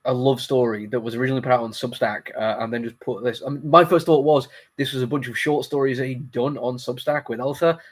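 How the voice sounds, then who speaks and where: A man's voice: mid-range voice, steady tone, fast, few pauses. Sniffly man hosting a casual review. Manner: apologetic, rambling, conversational. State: congested, sniffly with a cold. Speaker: comic book review host.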